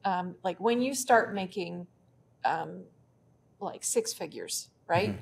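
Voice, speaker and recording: A woman's voice: on the low side, slow. Woman explaining casually over room noise. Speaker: interview guest, bookkeeper. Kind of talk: casual explanatory interview talk. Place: classroom, indoors. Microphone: lapel mic. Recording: clear background room noise.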